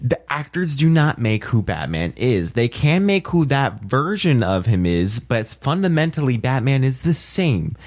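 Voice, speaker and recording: A man's voice: medium pitch, very animated, a little slow, hardly any pauses. A man co-hosting a casual podcast, firmly arguing his opinions in conversational language. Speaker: podcast co-host.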